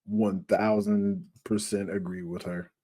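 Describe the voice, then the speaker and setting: A young man co-hosting a casual music review podcast, unhurried and emphatic, often restarting his sentences. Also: sits low, flat delivery, warm tone, husky. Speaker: podcast co-host.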